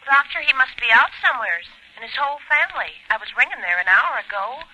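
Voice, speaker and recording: A small-town telephone operator, folksy and very sharp. Her rather high voice comes through a thin telephone effect in a vintage 1940s radio drama. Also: animated, fast talker, exaggerated voice, poor audio. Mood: concerned.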